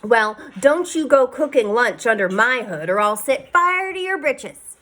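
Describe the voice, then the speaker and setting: A woman's voice: a little high, very animated, measured pace, a bit bright, voice pushed up, full character voice. Lady reading, doing a joking farm dad, folksy and easy, bouncy voice. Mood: teasing. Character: easygoing farmer father, joking. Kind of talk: storybook read-aloud.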